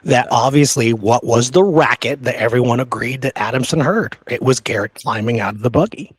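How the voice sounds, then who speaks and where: A man's voice: mid-range voice, a little fast, slightly rough, dry recording. Man in a dry-sounding history discussion. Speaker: podcast co-host. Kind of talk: conversational historical discussion, sometimes reading quotes.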